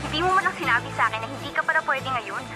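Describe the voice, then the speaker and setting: Sharp, high exasperated Filipina friend. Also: female voice, lively intonation, racing, soft background music. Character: exasperated young female friend. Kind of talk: Filipino radio drama acting.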